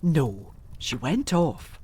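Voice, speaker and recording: Woman acting low, hugely expressive period housekeeper. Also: drawn-out words, breathy edge, exaggerated voice. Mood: guarded. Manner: deferential, emphatic, old-fashioned servant speech. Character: loyal older housekeeper, indignant, discreet, plain-spoken. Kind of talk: audio drama acting of a gothic period story.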